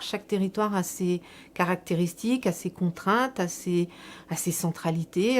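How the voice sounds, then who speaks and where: A woman speaking as a local elected official, explaining a public project in a measured, semi-prepared interview style with repeated words for emphasis. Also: medium pitch, darkish tone.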